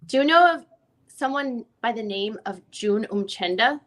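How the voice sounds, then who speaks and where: A woman's voice: fairly high pitch, animated, normal speed, lightly acted. A woman reading the part of a lawyer questioning a witness at a deposition in a formal, methodical way. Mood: formal, probing. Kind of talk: dramatic reading of a legal transcript.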